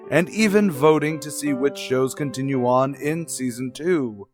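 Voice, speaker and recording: A man reading a brisk scripted sign-off as the show's announcer. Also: a little high.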